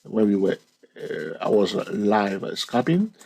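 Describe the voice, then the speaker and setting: A man's voice: on the low side, very animated, takes it slowly, gravelly, a little mellow, husky. A man hosting his channel, walking through a chart lesson, stretching key words and repeating counts. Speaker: trading educator and channel host. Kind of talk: screen-recorded chart lesson.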